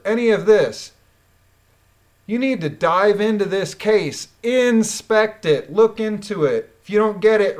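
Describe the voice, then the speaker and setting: A woman reading documents aloud and commenting on them in a blunt, emphatic, indignant manner. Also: medium pitch. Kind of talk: reading a court transcript aloud with critical commentary.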